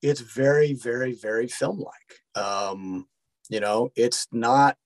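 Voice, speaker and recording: A man's voice: mid-range voice, slow. A man speaking as an interview guest, an actor and director chatting casually about his work.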